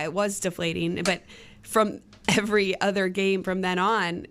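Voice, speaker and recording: A woman's voice: medium pitch, a bit bright. A woman who works as a sports host and reporter, talking casually in a roundtable discussion.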